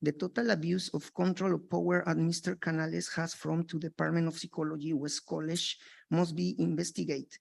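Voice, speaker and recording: A man from Mexico, a native Spanish speaker with a Mexican Spanish accent, making an earnest, halting public comment in broken English. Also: a little high, level tone, creaky. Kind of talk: public comment at a board meeting.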